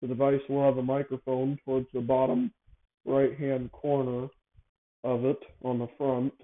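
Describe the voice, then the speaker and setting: A man speaks over narrow, phone-like audio in a casual, unscripted monologue. He hosts in a halting way, often doubling back to correct or repeat his phrases. His pitch sits in an ordinary middle range. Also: flat delivery. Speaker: show host. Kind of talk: casual improvised monologue, explaining a device idea.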